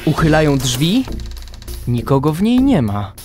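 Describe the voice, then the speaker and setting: A man's voice: a little high, wildly swooping pitch, a little slow, a little mellow, faint music behind. A man narrating a children's radio play in a scripted storytelling style.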